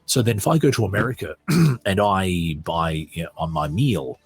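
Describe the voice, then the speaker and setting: Low, husky Australian man. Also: animated, moderate pace. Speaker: podcast host. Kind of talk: reading aloud with off-the-cuff commentary.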